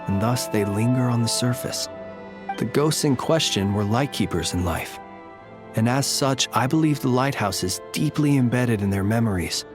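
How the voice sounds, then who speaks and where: An actor as a solemn, formal lightkeeper over music. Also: male voice, medium pitch, natural voice. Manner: formal, measured, archaic diction. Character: solemn, courteous graveyard lightkeeper who senses ghosts. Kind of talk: video game voice acting.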